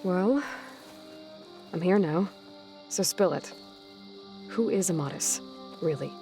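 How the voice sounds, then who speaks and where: A woman's voice: on the low side, takes it slowly. An actress playing a blunt, direct young female hunter in a video game cutscene. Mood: blunt, probing. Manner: blunt, probing questions. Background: game music score.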